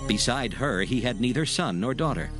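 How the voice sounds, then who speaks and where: A man narrating dramatized scripture in a solemn, formal, measured storytelling manner. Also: medium pitch, lively intonation, music in the background.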